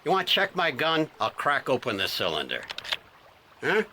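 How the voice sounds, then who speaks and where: An actor playing a cagey, gruff police detective in a radio drama, folksy and blustering. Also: male voice, medium pitch, wildly swooping pitch, moderate pace, pushed and bright, slight background noise.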